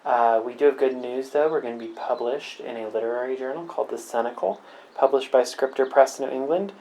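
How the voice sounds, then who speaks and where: A man's voice: medium pitch, brisk, mellow, low-quality recording. A man giving a casual podcast announcement as host and producer, recorded outdoors on a porch.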